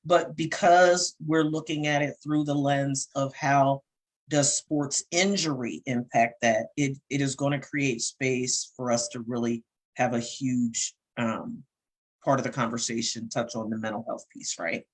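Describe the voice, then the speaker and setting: A woman's voice: a bass voice, steady tone, measured pace. A middle-aged woman co-hosting a casual talk show, teasing and laughing often as she chats. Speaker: co-host, counseling coach. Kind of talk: casual podcast chat.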